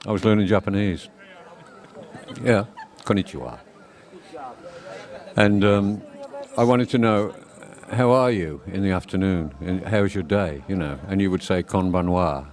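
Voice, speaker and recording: A man with a lowish voice talks casually out on a high street. His delivery is jokey, and he spins puns to help people remember words. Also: a little slow, darkish tone. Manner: jokey, tells punning memory tricks. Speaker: guest. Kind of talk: casual chat.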